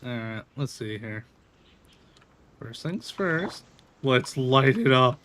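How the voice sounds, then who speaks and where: A man's voice: medium pitch, lively intonation, slow pace, a bit bright, a little hiss or hum. A man streaming a video game, giving casual, playful commentary and half talking to himself. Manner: playful, talks to self. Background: game music.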